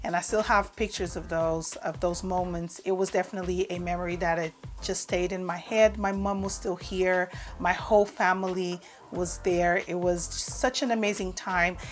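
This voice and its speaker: A woman's voice: on the low side, fairly even intonation, moderate pace, hardly any pauses, faint music behind. A woman in her forties whose first language is Portuguese, chatting casually in a vlog, reading out questions and answering them with lots of "um"s. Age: 40s. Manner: chatty, reads out each question then answers with fillers. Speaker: vlogger. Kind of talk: casual get-to-know-me tag video. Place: home in the UK.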